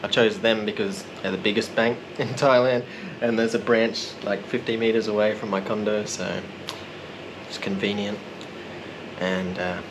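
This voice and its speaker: A man narrating a casual vlog in a relaxed, rambling, self-deprecating way. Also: fairly low pitch, moderate pace, background noise. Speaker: vlogger.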